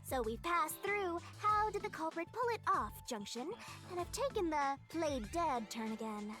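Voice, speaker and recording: A woman's voice: very high-pitched, animated, normal speed, sharp tone, full character voice. A woman voicing a boastful, lazy young female death-god guide in an anime-style video game, theatrical, smug and playful. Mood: playful, confident. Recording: game music behind the voice.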